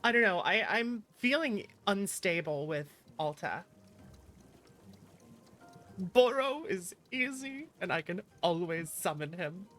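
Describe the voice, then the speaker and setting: A woman streamer talks casually to her chat in a slightly low voice. Her pace is very leisurely, and her manner is playful and teasing. Faint music plays behind her. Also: lively intonation, takes it slowly, a bit bright. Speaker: streamer, virtual YouTuber. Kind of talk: casual livestream chat with viewers. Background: background music.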